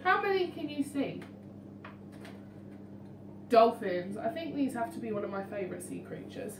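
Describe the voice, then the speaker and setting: Expressive high-voiced woman reading to children. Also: moderate pace. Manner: expressive, questioning, addresses young listeners. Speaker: teacher reading to young children. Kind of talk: interactive children's picture book reading. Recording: roomy, distant mic, faint noise.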